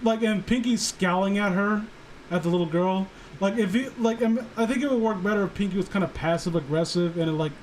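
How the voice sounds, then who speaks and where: A high-voiced man co-hosts a casual livestream discussion. He speaks haltingly, restarting phrases as he works toward his point. There is some noise on the recording. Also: poor audio. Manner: halting, repeats phrase starts. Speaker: co-host of a story critique stream.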